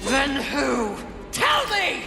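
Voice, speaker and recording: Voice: high voice, wildly swooping pitch, extremely slow, creaky, heavily acted. A performer voicing a fierce, impatient, defiant warrior in a video game cutscene, commanding and biting. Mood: demanding. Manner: commanding, hot-tempered, biting. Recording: echoey reverb, loud game music behind.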